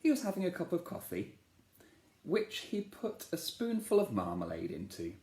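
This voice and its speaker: A man, a teacher, reading a children's storybook aloud in a playful, expressive way, asking the listeners questions. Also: on the high side, normal speed. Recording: echoey room.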